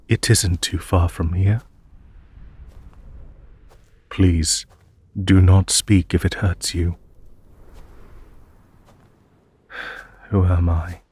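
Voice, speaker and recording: Deep, husky, courteous demon emperor played by a man. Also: darkish tone, no echo, heavily acted. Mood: gentle urgency. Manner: formal, measured, reassuring, polite phrasing. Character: courteous, solemn, gentle male demon emperor rescuing an injured human. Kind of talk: scripted audio roleplay addressed to the listener.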